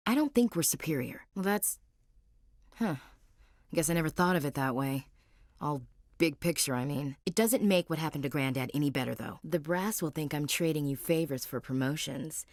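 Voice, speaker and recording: A blunt tough marine, low-voiced. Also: female voice, darkish tone, no echo. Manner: blunt, dry and wry, a military clip. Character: tough, proud woman marine soldier, guarded and blunt. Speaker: game character dialogue. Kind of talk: studio game voice acting.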